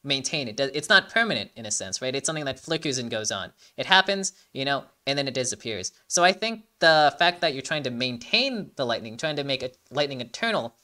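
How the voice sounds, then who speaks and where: An animated host giving casual reaction commentary and breakdown, rambling, repeating words and swearing. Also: mid-range voice, brisk, hardly any pauses.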